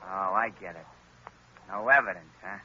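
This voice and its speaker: A man's voice: sits low, normal speed, a little hiss or hum, close to an everyday voice. An actor playing a dim, drawling male thug in a 1940s radio drama. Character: slow-witted male thug Slim. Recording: dull lo-fi old radio recording.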